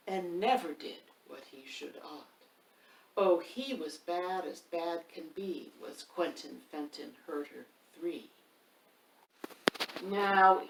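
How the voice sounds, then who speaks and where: A woman reading a rhyming picture book aloud, with a lively, sing-song delivery and asides to the listener. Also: mid-range voice. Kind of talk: expressive read-aloud storytime. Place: home room. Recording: roomy echo, mic at a distance.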